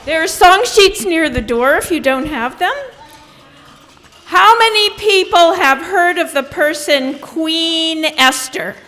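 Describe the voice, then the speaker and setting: A woman's voice: very high voice, animated, slow pace, pushed and bright. A woman leading a children's sing-along at a live event, talking and singing to the group. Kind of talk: animated talk and singing to children. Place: social hall, live event.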